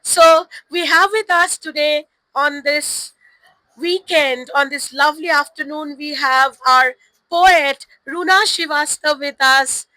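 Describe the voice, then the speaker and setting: A woman with a hoarse voice, hosting and introducing guests at a book fair stall with effusive, formal introductions. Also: high voice, slow, pushed and bright, no room sound, rough recording. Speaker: publisher's host. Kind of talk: event introduction.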